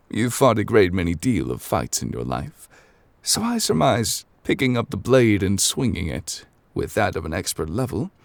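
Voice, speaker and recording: A man voicing a calm, scholarly, absent-minded ancient god in an ASMR audio roleplay. He speaks close to the microphone in a formal, measured, old-fashioned way and sometimes drops to near whispers. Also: fairly low pitch, gravelly, no echo. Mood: musing.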